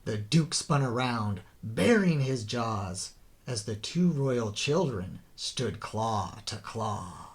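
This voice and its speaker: A man narrating a rhyming children's story in a theatrical, deliberate way, drawing out the ends of phrases. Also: mid-range voice, animated. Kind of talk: dramatic scripted children's story narration in rhyme.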